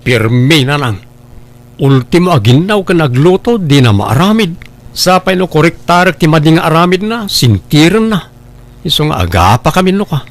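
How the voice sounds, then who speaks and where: A regretful young Filipino husband, acted by a man in a comedy drama. His voice is fairly high, with a plaintive, complaining delivery. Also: big pitch swings, moderate pace.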